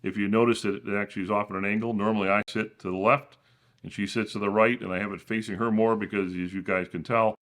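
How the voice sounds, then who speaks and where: A man with a deep, booming voice walks through his home studio setup. He explains casually and often restarts his sentences. The sound comes from a camera-mounted shotgun mic in a small room. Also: level tone, fast talker, a bit bright. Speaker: channel host. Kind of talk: studio tour walkthrough.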